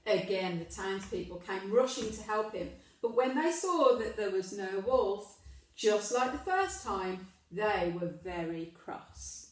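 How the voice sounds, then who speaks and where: A woman reading a children's story aloud with emphatic, deliberate delivery, addressing questions to young listeners. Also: mid-range voice, lively intonation. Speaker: primary school storyteller. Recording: very echoey room, distant microphone.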